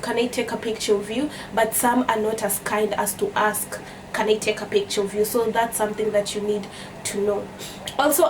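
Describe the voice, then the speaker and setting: A woman's voice: a little high, a little mellow. A young woman from Kenya with a Kenyan accent, chatting casually as a vlogger and repeating phrases for emphasis. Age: young adult. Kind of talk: casual storytime chat to camera. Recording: distant mic with background noise.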